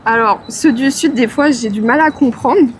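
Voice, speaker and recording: A woman's voice: on the high side, moderate pace, a little hiss or hum. A woman passer-by giving casual, slightly hesitant answers in a street interview.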